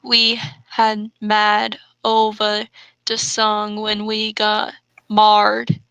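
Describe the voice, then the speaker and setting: A young woman reading bad fan fiction aloud for comedy, deliberately, with casual banter in between. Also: medium pitch, completely flat delivery, vocal fry, a bit bright, smooth, no room sound, muffled recording. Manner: deliberate, stumbles over misspellings read as written. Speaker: host and reader.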